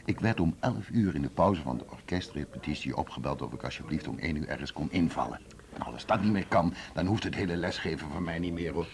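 A man's voice: extremely low pitch, lively intonation, fast, vocal fry, a little mellow, a little hiss or hum, exaggerated voice. An actor playing an indignant, sarcastic male music teacher in a radio drama.

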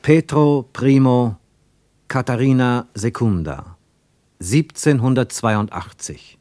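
A man narrating a radio play in a literary, measured storytelling manner. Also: medium pitch, darkish tone, dry recording.

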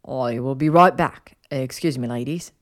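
A woman's voice: a bass voice, moderate pace, pitched below the performer's own voice. A woman voicing an airy, assured, somewhat bossy young male wizard hosting a party in a story.

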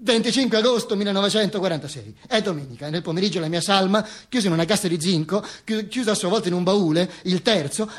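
A man performing a theatrical monologue as a dead dictator's corpse, a wry, pompous, mock-solemn storyteller who stammers, repeats and corrects himself. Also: high-pitched.

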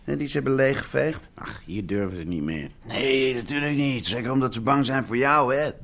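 An actor playing a sceptical, sardonic young squatter in a Dutch radio drama, sometimes hesitant. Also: male voice, mid-range voice, a little fast, vocal fry, a bit bright. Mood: sardonic, irritated.

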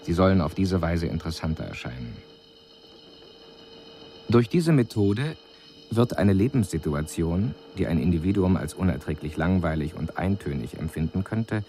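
A detached alien observer delivering a formal radio drama report. The voice is very low, measured and clinical, analytical in every phrase. A faint hiss lies on the recording. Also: male voice, warm tone. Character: detached male extraterrestrial envoy reporting on humans, analytical.

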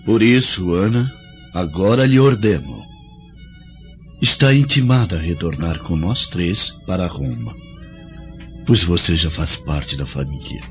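A man's voice: deep voice, a little slow, faint music behind. An actor playing a dutiful young Roman nobleman in a radio drama, speaking earnestly. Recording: dull lo-fi audio with faint score.

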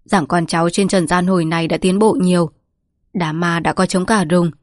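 A woman's voice: lowish voice, fairly even intonation, moderate pace, dry recording, close to an everyday voice. Storybook role: frail, dying old family patriarch read by a woman, rambling, bitter and mournful, very dry close sound. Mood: solemn, wistful. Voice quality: weak, breathy, trembling delivery. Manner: lamenting, rambling, scolding.

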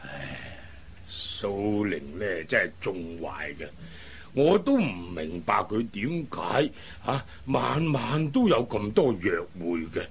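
An actor playing a genial, old-fashioned older father in a Cantonese radio drama, speaking in a drawn-out, avuncular way with a husky voice. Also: lowish voice, sing-song, a little hiss or hum, full character voice. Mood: fond grumbling.

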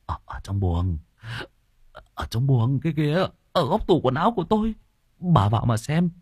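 A man voicing a drunk, shaken young man in a spoken horror story, stammering and rough. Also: very deep voice, a little fast, a little mellow, no echo, clean studio sound, voice pushed down, heavily acted. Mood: panicked.

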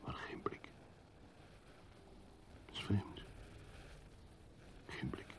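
An actor playing a brooding, lonely man in a poetic radio play monologue, solemn and halting, with breathy phrase endings. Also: whispered. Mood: melancholy, lonely. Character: brooding lonely man wandering rainy city streets.

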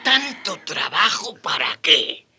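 An actor playing a wry, conciliatory Dominican friar in a radio drama, speaking in a theatrical, emphatic way. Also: male voice, a little high, sing-song, slow, vocal fry, sharp tone, full character voice. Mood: discouraged, soothing.

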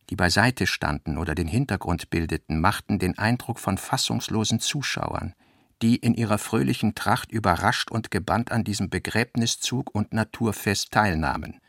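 A man narrates a literary radio play with deliberate, measured phrasing. His voice is on the low side and noticeably creaky. The recording is dry and close. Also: no room sound.

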